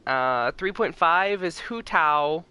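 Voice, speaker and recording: A man's voice: a little high, lively intonation, slow pace, sharp tone, smooth, no echo. A man hosting a casual game livestream, chatting informally with his viewers. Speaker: livestream host. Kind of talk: casual game stream chat.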